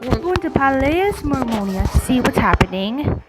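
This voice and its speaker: A woman's voice: high voice, very animated, normal speed. A woman giving casual gameplay commentary as a gaming streamer. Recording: game music behind the voice.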